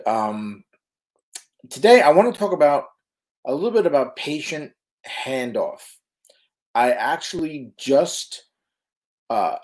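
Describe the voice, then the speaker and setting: A man teaching emergency medical crews in a casual live stream, chatty, with lots of fillers and "right?" tag questions. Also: medium pitch. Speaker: EMS educator.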